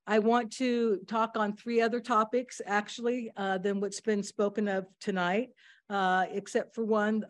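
Woman, public commenter, loud and direct, mid pitch, halting with fillers. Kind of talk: public comment at a city council meeting.

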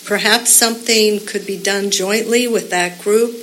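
A woman speaks at a community meeting in an earnest, rambling way, often pausing on fillers as she makes her case. Her pitch is moderate, and a light hiss runs under her voice. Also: fairly even intonation, a bit bright. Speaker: public commenter. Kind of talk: public comment at a community meeting.

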